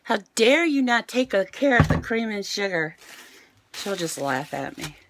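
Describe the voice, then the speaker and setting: A middle-aged American woman with a raspy voice, chatting casually to camera in a home vlog. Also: medium pitch, sing-song, a little slow, heavy background noise. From United States. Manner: chatty, rambling, self-mocking. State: raspy voice today. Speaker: vlogger. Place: home, indoors.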